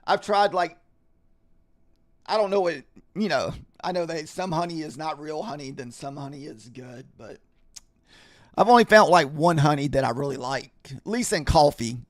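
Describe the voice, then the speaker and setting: Male streamer, casual rambling chat, slightly scratchy, fairly high, dry sound. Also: moderate pace, no echo. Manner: rambling, chatty, talks to viewers in chat. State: scratchy voice, clearing throat. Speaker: trading livestream host.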